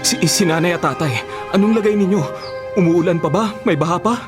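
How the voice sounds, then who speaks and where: Radio drama: male actor for concerned, protective elder brother. Slightly high pitch, music underneath. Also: fairly quick, lightly acted. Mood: alarmed, reassuring. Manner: concerned, questioning. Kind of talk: Filipino radio drama acting. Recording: music behind the dialogue.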